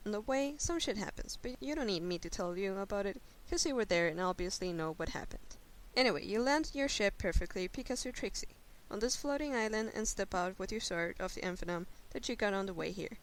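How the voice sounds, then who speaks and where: A woman's voice: medium pitch, lively intonation, fairly quick, rough recording. A woman giving an informal dramatic reading of a story in a brash, sweary, conversational way. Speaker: guest reader of fan fiction. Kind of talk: informal dramatic reading, second-person narration. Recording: hissy home recording, faint noise.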